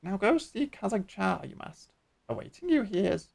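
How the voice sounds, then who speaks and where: A woman's voice: medium pitch, big pitch swings, moderate pace, gravelly, darkish tone, voice pushed up, full character voice. A woman voicing a small, chirpy beast-tribe creature who speaks with backwards word order. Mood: cheerful.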